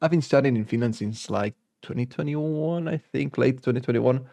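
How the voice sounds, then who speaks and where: A man's voice: medium pitch, moderate pace, creaky, dark tone, no echo. A young man who grew up in Peru, a design student narrating a casual walkthrough of his work and thinking aloud with fillers.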